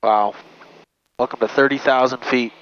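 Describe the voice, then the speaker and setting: A man in his thirties hosts a flight vlog in a casual way, with an average-pitched voice. He speaks into a headset in a small cockpit, slightly muffled, with a faint engine drone behind him. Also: fairly even intonation, moderate pace, fairly bright tone, a little hiss or hum. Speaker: pilot and vlog host. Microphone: aviation headset boom mic.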